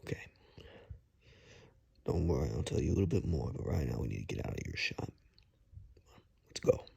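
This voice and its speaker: A man acts out a cautious male forest guide in an ASMR roleplay. His voice is extremely low and very breathy, full of heavy fry. He speaks in hushed, halting phrases, gently reassuring a lost stranger. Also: expressive, heavily acted. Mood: hushed, urgent.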